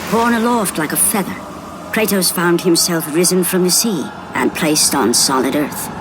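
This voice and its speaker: An actress playing a solemn narrator of an epic legend, giving scripted, portentous narration for a fantasy video game. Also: female voice, fairly low pitch, moderate pace, noisy background, close to an everyday voice. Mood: grave. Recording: music behind the narration.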